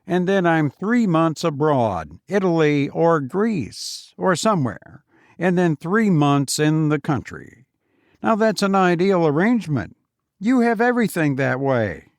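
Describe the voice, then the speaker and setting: A man's voice: fairly high pitch, sing-song, measured pace, dry recording, studio quality, voice pushed up. A man voicing a smug, patronizing, chatty English writer with a gushing, falsely modest manner in an audiobook story. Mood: smug, boastful. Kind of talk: acted dialogue in a literary audiobook.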